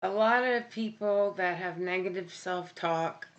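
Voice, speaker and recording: A woman with a deep voice hosting a casual live stream, talking candidly and bluntly with many ums and self-corrections. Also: unhurried, dull audio. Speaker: livestream host. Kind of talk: casual live-stream talk about mental health.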